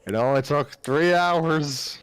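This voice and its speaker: A man's voice: a little high, slow pace, clean tone, no echo, poor audio. A man co-hosting a casual story podcast, chatting informally with friends about what was read. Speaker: podcast co-host and story reader. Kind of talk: casual group discussion after reading fan fiction aloud.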